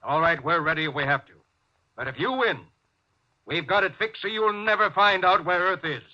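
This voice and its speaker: An actor playing a tense, brooding male starship captain in 1950s radio drama, halting and musing, then barking orders. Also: sits high, pushed and bright, muffled recording. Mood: defiant, urgent. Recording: noisy, band-limited 1950s radio recording.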